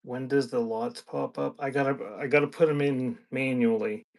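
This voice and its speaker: A man's voice: medium pitch, fairly even intonation, moderate pace, gravelly, darkish tone. A man hosting a live sale in casual, off-the-cuff chat, half muttering to himself as he works and half addressing viewers. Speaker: live auction seller, host.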